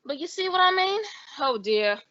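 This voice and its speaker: Bright, gossipy telephone operator woman. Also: sits high, lively intonation, muffled recording, slight background noise. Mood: harried, businesslike. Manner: chatty, brisk, confiding. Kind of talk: old-time radio suspense acting.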